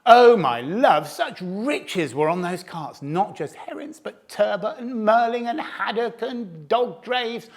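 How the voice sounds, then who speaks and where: Sly boastful fox, man reading, storybook teller; slow, lively melody. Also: high-pitched, pitched above the performer's own voice, heavily acted. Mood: greedy. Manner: relishing, theatrical, savouring food words. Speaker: storyteller to cubs. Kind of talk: storybook narration.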